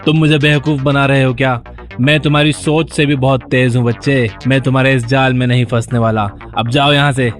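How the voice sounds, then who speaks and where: A man voicing a gruff, impatient woodcutter in a children's cartoon, with a dismissive, blustering manner. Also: mid-range voice, flat delivery, soft background music, close to an everyday voice. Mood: scornful, suspicious. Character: gruff, impatient male woodcutter, scornful but gullible.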